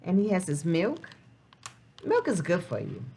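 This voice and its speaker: A woman reading a picture book aloud to young children, expressive and sing-song, posing questions as she goes. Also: fairly low pitch, unhurried, creaky, slight background noise. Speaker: children's storybook reader.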